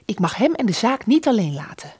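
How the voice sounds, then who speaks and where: An actress in a radio play playing a nervous, overwhelmed married woman who pleads and apologizes in a halting, breathy way. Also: on the high side, very animated, darkish tone, no echo. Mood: distressed pleading. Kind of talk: Dutch radio play acting.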